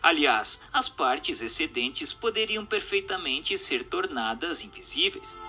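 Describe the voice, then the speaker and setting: A man with a Brazilian accent reading a scripted text as narrator, in a formal, didactic, measured manner. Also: mid-range voice, pushed and bright. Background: music.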